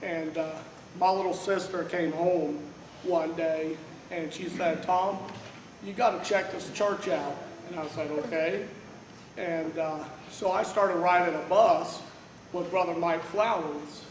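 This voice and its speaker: A man in his thirties, originally from Kansas City, giving a loud, rambling tribute to his pastor without a microphone. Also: fairly high pitch, fairly even intonation, takes it slowly, reverberant, slight background noise, rough recording. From Kansas City, Missouri. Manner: loud, unmiked, rambling with many "uh"s. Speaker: church member giving a tribute. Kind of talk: informal heartfelt tribute speech. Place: large church fellowship hall. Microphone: no microphone, picked up from across the room.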